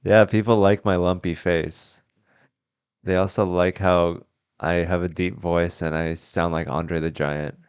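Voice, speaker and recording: A man hosting a podcast, improvising comedy scenes in a deadpan way and laughing often. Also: low voice, a little slow, clear, resonant tone, dry recording. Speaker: podcast host. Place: house.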